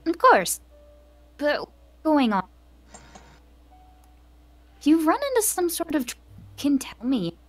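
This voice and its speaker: Woman playing a high, animated young adventurer friend. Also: takes it slowly, long pauses, far from the mic, exaggerated voice. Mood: caring. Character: caring, teasing young female adventurer friend. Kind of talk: visual novel voice acting. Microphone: online voice call.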